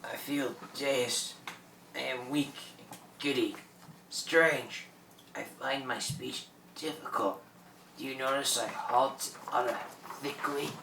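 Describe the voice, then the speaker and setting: An actor playing an ancient French pirate captain in a radio drama, proud and ailing, with halting speech and French exclamations. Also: medium pitch, steady tone, vocal fry. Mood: dazed, bitter. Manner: theatrical, boastful, peppered with French exclamations. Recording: echoey room, distant mic, background noise.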